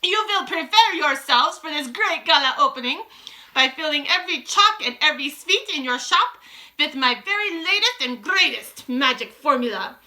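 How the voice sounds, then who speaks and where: A woman's voice: sits high, animated, normal speed, bright, forceful tone, pitched above the performer's own voice, full character voice. A woman voicing an imperious, cruel grand witch in a storybook, commanding her followers theatrically with stilted grammar. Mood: gloating, commanding. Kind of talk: dramatised storybook reading.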